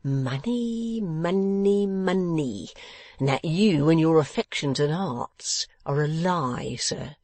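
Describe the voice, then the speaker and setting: A woman voicing a blustering, contemptuous older rich man in an audiobook, loud, mocking and emphatic. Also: low voice, a little slow, few pauses, dry recording. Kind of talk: dramatised audiobook reading.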